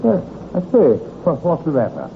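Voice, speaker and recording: Casting: slippery husband in old radio crime drama, falsely breezy, swinging lowish voice, noisy vintage recording. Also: male voice, wildly swooping pitch, normal speed, vocal fry, heavily acted. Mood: breezy affection. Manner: glib, falsely breezy, patronizing. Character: smooth, scheming husband soothing his suspicious wife. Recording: noisy, tinny mid-century radio recording.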